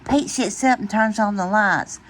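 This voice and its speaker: A woman reading a children's bedtime story aloud in an expressive, deliberate way. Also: medium pitch. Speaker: storytime reader.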